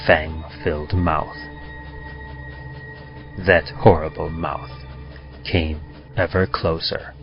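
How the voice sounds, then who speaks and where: Male horror narrator, low and unhurried, suspense-driven delivery, muffled recording. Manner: suspenseful, swells and drops for tension. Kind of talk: dramatic horror story reading. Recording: dull lo-fi recording with music.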